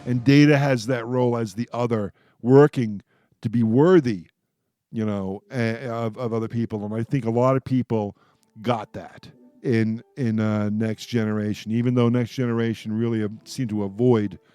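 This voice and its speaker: Man talking haltingly, informal. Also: mid-range voice, steady tone, mellow, clean tone, no room sound. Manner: halting, repeats and restarts mid-thought. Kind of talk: casual roundtable fan discussion.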